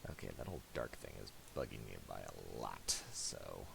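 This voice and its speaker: A man talking through a software tutorial casually, thinking aloud in a hesitant, piecemeal way. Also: rumbling low, gravelly. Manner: hesitant, halting, piecemeal delivery. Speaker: tutorial presenter. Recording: steady hiss and clicking noise.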